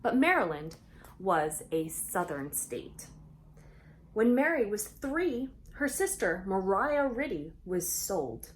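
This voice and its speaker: A woman reading a children's storybook aloud expressively, pausing for conversational asides and questions to young listeners. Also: mid-range voice, very animated, slight background noise.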